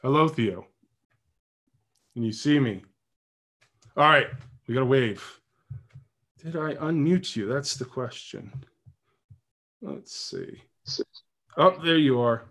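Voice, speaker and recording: A man's voice: mid-range voice, lively intonation, slow pace, long pauses, fairly bright tone. A man hosting a casual political podcast livestream, joking and chatting as he thinks aloud. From New Hampshire. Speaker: podcast host.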